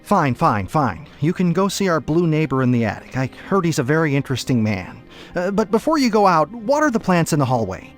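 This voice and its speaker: A put-upon father, speaks with hugely varied pitch. He is mild, weary and reluctant, a droll and distracted video game dad, with quiet music in the background. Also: big pitch swings, brisk. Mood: grudging. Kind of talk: video game cutscene voice acting with tutorial instructions.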